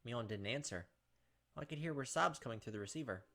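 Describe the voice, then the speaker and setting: A man's voice: mid-range voice, lively intonation, fast talker, creaky, a bit bright. A young man doing casual game commentary and reading story narration aloud. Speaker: gaming video host.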